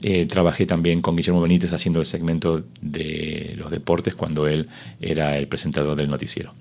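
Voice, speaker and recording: A man's voice: extremely low pitch, flat delivery, brisk, gravelly, a little mellow. A middle-aged man, a sports broadcaster whose first language is Spanish, telling his story in an interview with many fillers and restarts.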